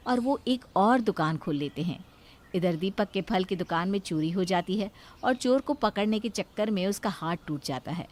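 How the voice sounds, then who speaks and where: A woman's voice: fairly low pitch, darkish tone. A woman narrator reading a scripted moral story.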